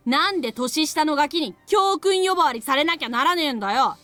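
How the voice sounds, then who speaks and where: A woman voicing a sulky, grumbling young boy in a visual novel, brusque and rough-spoken. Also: high-pitched, lively intonation, very fast, fairly bright tone, dry recording. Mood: sulky, annoyed. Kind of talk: Japanese anime visual novel acting.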